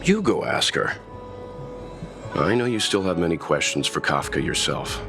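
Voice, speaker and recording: A man's voice: low-pitched, sing-song, normal speed. An actor playing a composed male companion in a video game, speaking directly. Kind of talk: video game character dialogue. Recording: studio voice over game music.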